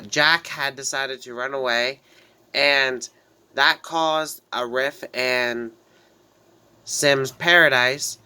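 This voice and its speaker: A man's voice: medium pitch, pushed and bright, clear, resonant tone. A man with a head cold and a slightly nasal voice, casually narrating his life-simulation game story in a rambling way with frequent restarts. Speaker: gameplay narrator. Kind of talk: casual let's-play storytelling.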